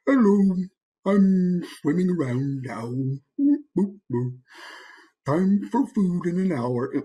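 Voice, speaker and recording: A man voicing a slow, lethargic pet fish with big lips, talking in drawn-out, blooping phrases. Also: high voice, sing-song, dark tone, breathy edge, highs cut off, voice pushed up, exaggerated voice. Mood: lethargic, vacant.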